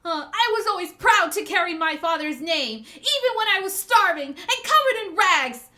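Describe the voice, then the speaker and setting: A woman's voice: medium pitch, lively intonation, slow, pushed and bright, voice pushed down. A woman voicing a proud, grieving samurai's daughter, declaiming a comic's lines with impassioned, vengeful emphasis. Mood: furious defiance. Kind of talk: amateur dramatic reading of a comic's dialogue.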